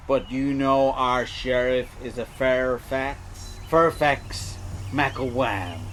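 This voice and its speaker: A man's voice: medium pitch, slight background noise, close to an everyday voice. A man playing a wordy, pedantic and self-important newspaper publisher in a comedy audio drama. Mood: pedantic, musing. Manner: pedantic, florid, deliberate. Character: pompous, wordy small-town newspaper publisher.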